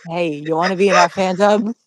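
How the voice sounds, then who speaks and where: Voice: mid-range voice, fairly even intonation, a little fast, no room sound. A podcaster in casual group chat, bantering with playful mock indignation. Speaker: podcast regular.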